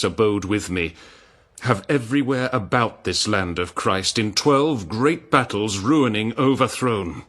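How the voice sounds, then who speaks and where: A man's voice: low-pitched, fairly bright tone. An actor playing a stern, sorrowful, betrayed king in a declamatory verse drama. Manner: grave, declamatory.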